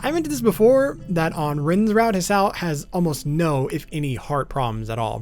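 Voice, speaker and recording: Lively higher-pitched male video narrator. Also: no echo. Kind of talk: explainer narration about a visual novel.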